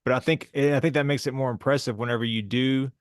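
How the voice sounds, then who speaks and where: A man's voice: medium pitch, fairly even intonation, fast, no room sound, clean studio sound. A man hosting a podcast, chatting in an easygoing, encouraging way. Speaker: podcast host. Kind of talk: casual chat.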